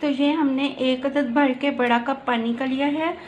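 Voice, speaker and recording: A woman with a high voice walks viewers through a home recipe, patiently explaining each step as she cooks. Soft kitchen sounds and clinking dishes stay faintly in the background. It is recorded on a phone in a home kitchen. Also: fairly even intonation, measured pace, highs cut off, slight background noise. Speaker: cooking channel host. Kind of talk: step-by-step cooking demonstration.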